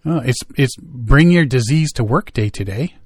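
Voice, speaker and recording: A man hosting a radio show, joking and teasing his co-host in casual banter, quick to laugh. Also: medium pitch, animated, breathy edge, no echo, clean studio sound. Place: studio.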